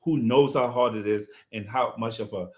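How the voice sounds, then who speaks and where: A man's voice: mid-range voice, moderate pace. A man hosting a talk show, speaking passionately and building to indignant emphasis. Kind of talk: heated talk show commentary.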